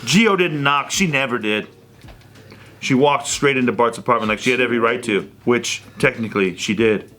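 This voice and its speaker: A man with a mid-pitched, bright and forceful voice reads narration aloud. He plays up the storytelling with audible amusement, as at a comedy table read. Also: moderate pace. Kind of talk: dramatic reading of fan fiction at a table read.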